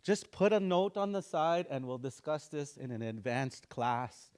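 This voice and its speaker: Man teaching a language class, lively voice. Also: fairly high pitch, a little slow, smooth. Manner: repetitive drilling, informal, chuckles often.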